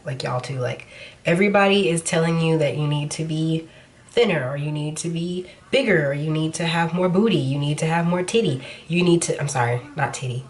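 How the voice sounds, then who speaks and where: A young woman vlogger with a slightly nasal voice from a recent cold, chatting frankly while she does her makeup. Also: low voice. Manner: casual, frank, talks while applying makeup. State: nasal after being sick. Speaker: beauty vlogger. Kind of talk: chatty makeup tutorial talk.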